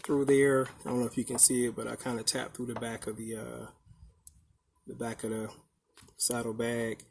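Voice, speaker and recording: A man with a fairly low voice talks through gear in a casual, unscripted walkthrough. His delivery is very flat and plain-spoken. The handheld recording has clear noise around him. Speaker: vlogger showing a motorcycle radio install.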